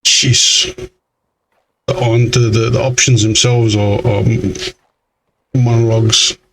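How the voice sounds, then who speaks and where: A male game streamer with a medium-pitched voice, grumbling through his commentary in exasperation. There is a faint hum behind him. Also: level tone, slow, a bit bright, rough recording. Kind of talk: let's play commentary, reading game dialogue aloud.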